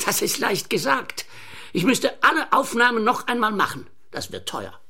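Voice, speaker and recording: High-pitched, fussy earnest inventor. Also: male voice, huge pitch swings, moderate pace, bright, forceful tone, breathy edge, heavily acted. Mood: concerned. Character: fussy male inventor, earnest about his business system. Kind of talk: radio play dialogue. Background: computer keyboard typing.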